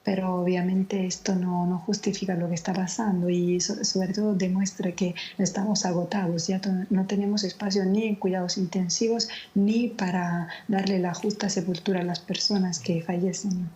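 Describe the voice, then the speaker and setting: Lady interview guest, kinda tired n subdued, pretty flat mellow voice, muffled call audio. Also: on the low side, a little hiss or hum. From Naples, Italy. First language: Italian. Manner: subdued, measured. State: tired late at night. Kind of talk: live interview answers. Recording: dull lo-fi remote connection.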